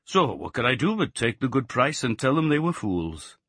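A man's voice: mid-range voice, big pitch swings, normal speed, a bit bright, husky, no echo, clean studio sound, pitched above the performer's own voice. A man doing a lilting Welsh farmer. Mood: rueful. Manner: lilting, singsong. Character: cheerful middle-aged male Welsh sheep farmer.

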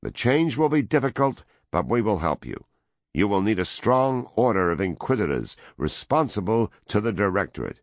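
A man voicing a domineering male world president, loud, preaching and dictatorial. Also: medium pitch, very animated, slow, no room sound, clean studio sound, pitched above the performer's own voice, exaggerated voice.

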